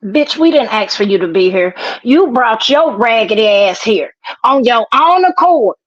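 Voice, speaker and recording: A woman's voice: on the high side, lively intonation, unhurried, no echo. A woman caller, blunt and scolding, swearing heavily in casual, heated talk over dull call audio. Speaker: guest caller.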